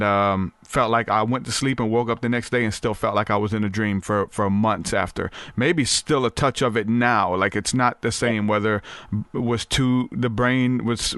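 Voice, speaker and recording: Smooth low-voiced male podcast host. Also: fairly bright tone, dry recording. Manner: casual, halting, many fillers. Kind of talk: podcast interview.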